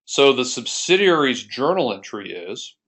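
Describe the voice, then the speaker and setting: A man's voice: mid-range voice, big pitch swings, measured pace, a bit bright. An American man giving an explanatory screencast lesson as an accounting instructor, explaining methodically and step by step.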